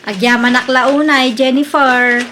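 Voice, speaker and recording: A woman's voice: high-pitched, steady tone, a little slow, pushed and bright, slight background noise. A woman playing a boastful, stubborn, cheerfully bossy wife in an Ilocano comedy radio drama, with an Ilocano Filipino accent. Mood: smug, teasing.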